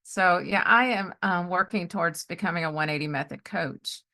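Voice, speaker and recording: A middle-aged woman chatting casually as a podcast host, telling personal stories of faith in an animated way and often acting out what people said. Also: fairly low pitch, moderate pace, vocal fry. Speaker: podcast host and life coach. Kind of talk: casual conversational storytelling with faith testimony.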